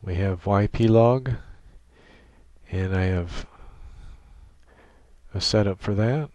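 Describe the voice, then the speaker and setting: A man giving an informal, matter-of-fact technical software walkthrough as he demonstrates a setup. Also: lowish voice, slow pace, no echo, dull audio. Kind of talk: informal screen-share tutorial narration. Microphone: desktop microphone monitored through computer audio. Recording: clearly noisy home microphone recording.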